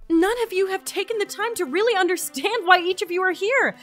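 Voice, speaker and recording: A woman playing an earnest, quick-witted traveler on a mission in a fantasy audio drama. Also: very high voice, fairly bright tone, faint music behind. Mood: rousing. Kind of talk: fantasy audio drama acting with log narration.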